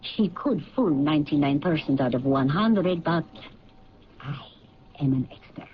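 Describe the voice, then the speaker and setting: A woman's voice: low-pitched, expressive, measured pace. A defiant, guarded woman. She speaks formally, in clipped phrases. The sound is a dull, hissy 1970s radio drama recording. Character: guarded, defiant foreign woman, bereaved companion of murdered man.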